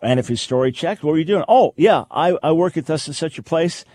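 A man's voice: on the high side, expressive, brisk, warm tone, no echo, clean studio sound. An American man talking off the cuff as a radio host, conversational, posing rhetorical questions and acting out examples. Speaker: radio talk show host. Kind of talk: unscripted talk radio commentary.

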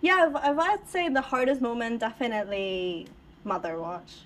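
A young adult woman from Hong Kong, a podcast guest who talks in a lively, expressive way and jumps in eagerly. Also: fairly high pitch, moderate pace, background noise. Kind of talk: casual interview chat.

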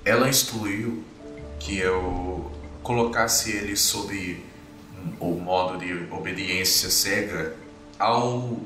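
A man, running a tabletop game in a measured, explanatory way. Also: on the low side, pushed and bright, echoey room, recorded from a distance. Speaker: game master. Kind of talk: narrating a tabletop game and voicing a vampire.

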